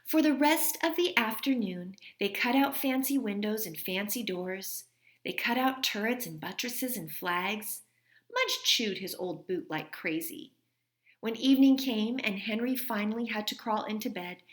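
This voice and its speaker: A woman reading a children's storybook aloud in an expressive manner, like a teacher. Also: a little high, moderate pace, reverberant.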